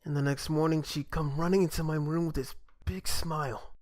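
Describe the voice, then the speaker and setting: A man's voice: on the high side, steady tone, brisk, darkish tone, lightly acted. A man voicing an aloof, wistful young older brother in a game fan dub, talking in a casual, reflective way.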